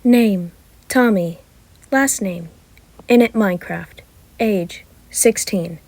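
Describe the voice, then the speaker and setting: A woman voicing a brash, energetic sixteen-year-old boy who boasts and rambles in an amateur story reading. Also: medium pitch, slow. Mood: excited. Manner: boastful, rambling, loud when excited.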